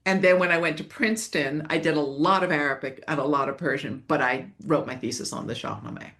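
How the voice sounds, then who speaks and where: Older swiss-raised woman, lowish voice, rambles and laughs through her memories. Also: fairly even intonation, brisk, fairly bright tone. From Switzerland. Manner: rambling, self-correcting, laughs often. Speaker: guest scholar. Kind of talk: reflective interview storytelling.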